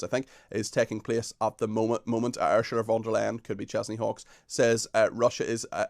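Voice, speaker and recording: A male radio host in a studio, asking questions in a brisk, conversational way. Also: fairly low pitch, a little mellow, dry recording. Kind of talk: radio interview.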